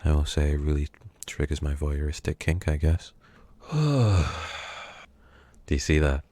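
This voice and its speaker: A man playing a menacing, smug kidnapper in an ASMR roleplay, speaking slowly and teasingly and dropping into whispers. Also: extremely low pitch, animated, warm tone, no echo, full character voice. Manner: slow, teasing, drifting into whispers.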